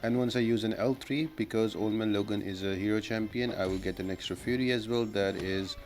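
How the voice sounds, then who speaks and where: A man's voice: on the low side, steady tone, brisk, darkish tone. A man giving a casual, step-by-step walkthrough commentary as the host of a gaming guide. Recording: clearly noisy voice-over with background ambience.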